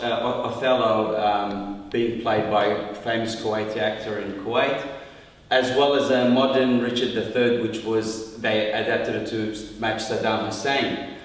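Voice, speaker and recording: A man from Iran, a workshop participant, talking thoughtfully in a group discussion with many restarts. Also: lowish voice. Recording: echoey hall from far off.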